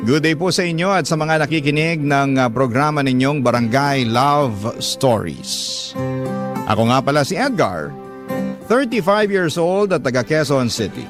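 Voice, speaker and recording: A man's voice: medium pitch, animated, a little slow. A man with a Filipino accent reading scripted first-person stories as a radio drama host. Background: guitar music.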